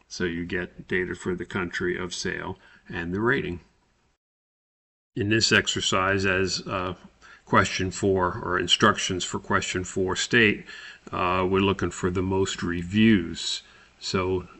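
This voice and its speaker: Slow, low-voiced man with gravelly creak. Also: pushed and bright. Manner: halting, thinking aloud step by step. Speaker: tutorial presenter. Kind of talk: informal software screencast walkthrough.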